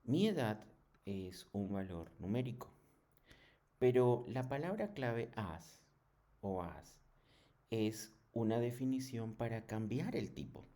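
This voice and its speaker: A man giving a programming tutorial in a halting, didactic way, often checking in with short questions. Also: medium pitch, very animated, mellow. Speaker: programming instructor. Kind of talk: screen tutorial lesson.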